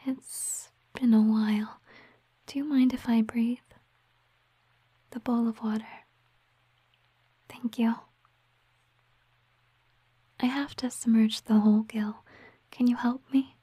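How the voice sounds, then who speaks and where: Woman as a whispering, gentle siren girlfriend. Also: a little high, steady tone, no echo, poor audio. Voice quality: soft, breathy whisper. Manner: slow, hushed, tender, hesitant. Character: gentle, wistful, devoted young female siren mermaid girlfriend. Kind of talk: intimate whispered ASMR roleplay.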